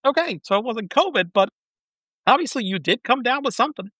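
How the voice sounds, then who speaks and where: Insistent woman co-host with lively pitch. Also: brisk, no echo, studio quality. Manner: insistent, repeats herself, stumbling starts. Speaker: podcast co-host. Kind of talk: casual chat.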